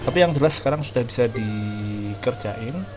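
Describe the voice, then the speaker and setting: A man's voice: mid-range voice, fairly quick. Young Indonesian man casually commentating on a game. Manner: informal, chatty, thinking aloud. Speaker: gaming streamer. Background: game music and dialogue.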